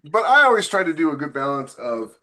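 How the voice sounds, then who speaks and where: A man's voice: on the high side, very animated, a little fast, bright, forceful tone. A man talking casually as an author and panelist in a podcast discussion.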